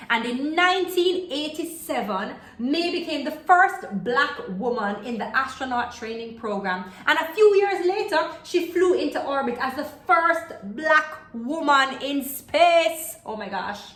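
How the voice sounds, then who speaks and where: High-voiced woman reading aloud, echoey room. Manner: expressive, addressing young listeners with questions. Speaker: storyteller reading aloud for children. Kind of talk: children's book read-aloud with asides and questions. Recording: roomy, echoey home-style video recording.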